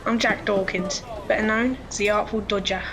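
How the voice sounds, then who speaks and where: A woman's voice: medium pitch, fairly even intonation, normal speed, crowd noise, natural voice. A woman playing a cheeky, streetwise young pickpocket boy with a London accent in an audio drama, cocky and friendly.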